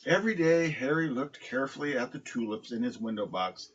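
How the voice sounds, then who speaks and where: Man, children's story read-aloud, husky, medium pitch, lo-fi sound. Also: expressive, fairly quick. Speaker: storybook reader, dog owner. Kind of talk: reading a children's picture book aloud.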